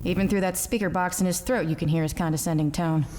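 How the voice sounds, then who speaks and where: A woman's voice: on the low side, steady tone, quick pace, darkish tone, natural voice. Actress doing hard-boiled lady private eye in noir radio play, sardonic, boozy world-weary wisecracker, bit of background noise. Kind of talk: noir audio drama dialogue and first-person narration.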